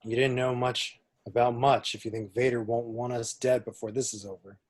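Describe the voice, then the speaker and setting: A man playing a weary, sarcastic smuggler in an amateur script read, gruff and sardonic. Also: mid-range voice, flat delivery, moderate pace, close to an everyday voice. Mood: bitter, sarcastic. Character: Han Solo, weary, sarcastic male smuggler.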